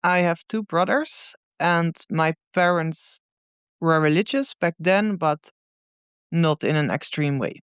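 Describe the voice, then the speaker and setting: Dutch woman answering, crisp clear voice. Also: lowish voice, dry recording. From Netherlands. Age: 30s. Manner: thoughtful, unhurried, many pauses. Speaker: guest musician. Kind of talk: casual interview answers.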